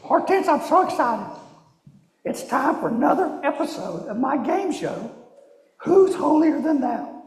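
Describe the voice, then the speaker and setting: A man voicing an excitable, scheming monkey puppet who hosts a game show in a live children's show. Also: very high-pitched, a little slow, exaggerated voice. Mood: excited. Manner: hyped-up game show announcer delivery. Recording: echoey church hall, distant mic.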